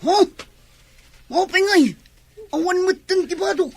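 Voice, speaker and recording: An actress playing a reluctant, upset young bride in an Ilocano radio drama, with an Ilocano accent. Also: female voice, very high-pitched, measured pace, a little mellow, soft background music.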